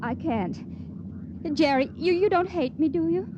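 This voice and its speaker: A young doomed stowaway speaks softly and tenderly in 1950s radio-drama style. Her voice sits high, and it comes through a muffled old transcription under heavy hiss. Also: animated, takes it slowly, full character voice. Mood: tender farewell. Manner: soft, tender and resigned. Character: young female stowaway facing her death.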